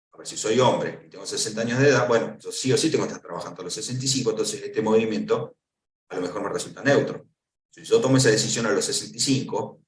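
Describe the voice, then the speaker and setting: A man with an Argentine Spanish accent giving a conference lecture, explaining step by step and posing questions to answer himself. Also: fairly low pitch. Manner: didactic, asks and answers own questions. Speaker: lecturer on pension law. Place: lecture hall. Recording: echoey hall, voice from far off.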